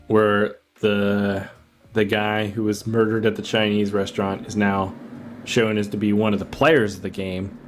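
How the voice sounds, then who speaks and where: A man's voice: on the low side, completely flat delivery, unhurried, faint music behind. A man co-hosting a podcast, discussing a film casually and informally, thinking aloud as he goes. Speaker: podcast co-host.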